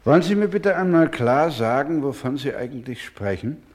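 An actor in a German radio drama playing an anguished, devout doctor who cares for orphans, speaking gravely, solemnly and pleadingly. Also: male voice, mid-range voice, animated, moderate pace, a little mellow, lightly acted. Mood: earnest, pleading.

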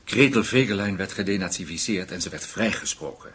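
Shrewd intelligence official, slightly rough voice, lively intonation, formal, deliberate, radio play. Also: male voice, sits low, full character voice. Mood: firm, cautioning. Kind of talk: radio drama acting.

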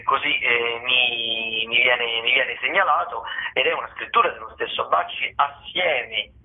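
A man giving a casual radio rundown as a theatre critic, wordy and halting with repetitions. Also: mid-range voice. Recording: tinny phone line.

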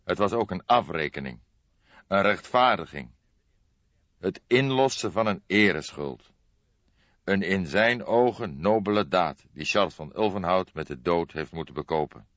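A man voicing a grey-haired veteran police detective in an audiobook, calm, pensive and measured, explaining things with solemn deliberation. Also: deep voice. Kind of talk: audiobook character dialogue. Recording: dull lo-fi audiobook, roomy echo.

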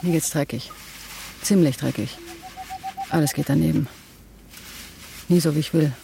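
A woman's voice: low voice, normal speed, vocal fry, dark tone, a little hiss or hum. A woman voicing plain-spoken people quoted within her radio drama story. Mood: downcast.

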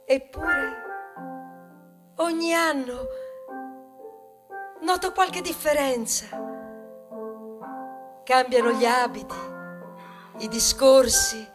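A high-pitched female voice as a tender mother ear of corn grieving for her children. She speaks slowly and theatrically in a poetic radio ballad, swinging between hushed and impassioned, with music underneath. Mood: wistful, festive. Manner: slow dramatic delivery, swinging from hushed to impassioned. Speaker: stage actress.

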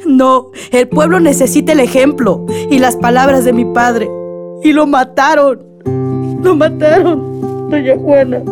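A woman's voice: sits high, fairly even intonation, measured pace, over music. A woman playing a grieving, bitter, defiant mother in a radionovela, emotional and protesting. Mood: anguished protest. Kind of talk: radionovela acting.

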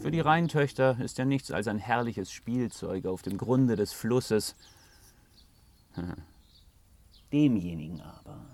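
An actor playing a sly, evasive trickster god in a fantasy radio drama, with a wily, teasing, theatrical delivery. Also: male voice, medium pitch, lively intonation, takes it slowly, pauses often, a little mellow, soft background music. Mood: seductive, secretive.

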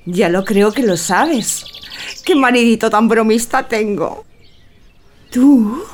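A woman's voice: on the high side, slow, a little mellow, a little hiss or hum. An actress playing a sly, teasing young wife in a theatrical radio drama, coy and cooing in her delivery. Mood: playful, coy.

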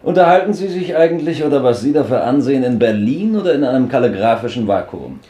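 An actor playing a sharp young man who needles the old men sardonically, in a 1950s radio drama. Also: mid-range voice, animated, fast talker, reverberant, lightly acted. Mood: mocking.

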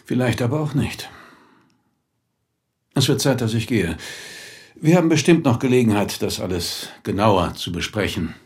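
An actor playing a sceptical police inspector in a radio play, questioning drily and insistently. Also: male voice, mid-range voice, lively intonation, normal speed, lightly acted. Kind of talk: naturalistic German radio play acting.

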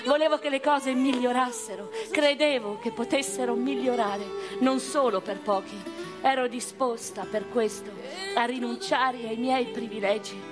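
A woman acting in radio theatre, delivering a dramatic first-person monologue as a proud, reflective noblewoman with deliberate phrasing. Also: a little high, music in the background. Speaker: actress in a radio play.

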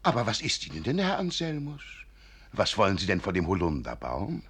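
An actor playing an eccentric older archivist in a German radio play, blustering and slyly amused, with a raspy, chuckling delivery. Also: male voice, fairly low pitch, huge pitch swings, fairly bright tone, full character voice. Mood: brisk questioning. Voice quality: raspy, rough-edged. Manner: chuckling, exclamatory, theatrically deliberate.

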